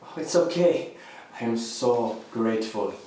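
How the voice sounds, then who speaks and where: A man's voice: medium pitch, expressive, measured pace, background noise, close to an everyday voice. A man acting in a radio drama as a needy, evasive houseguest, hesitant and over-familiar. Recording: very echoey room, music behind.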